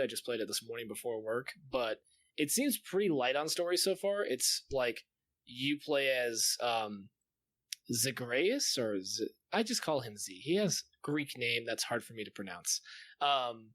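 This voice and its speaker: Male podcast co-host, mid-pitched, enthusiastic and sweary, casual gamer chat, close dry sound. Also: lively intonation, normal speed, fairly bright tone, dry recording.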